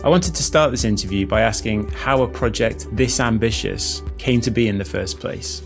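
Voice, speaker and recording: A man's voice: lowish voice, moderate pace, gravelly, music in the background. A man hosting an interview, putting questions to guests in a conversational way.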